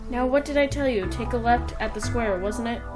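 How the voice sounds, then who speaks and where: A man voicing a bossy, scolding teenage girl in a high falsetto. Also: brisk, over music.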